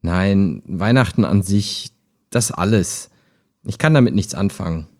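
A man's voice: low voice, expressive, unhurried, a little mellow. A man playing a skeptical, wistful chatbot that talks like a human in an intimate radio play, understated and hesitant. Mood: cynical, dismissive.

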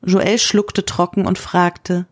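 A woman with a husky voice reads scripted literary narration for an audio drama. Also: fairly low pitch, level tone, slow pace, no room sound, clean studio sound.

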